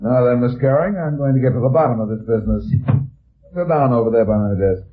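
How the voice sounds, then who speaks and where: An actor playing a tough, brusque, sardonic private detective in an old radio drama. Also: male voice, medium pitch, fast, close to an everyday voice. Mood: hard, suspicious. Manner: brusque, commanding, hard-boiled. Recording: tinny, narrow-band old radio recording.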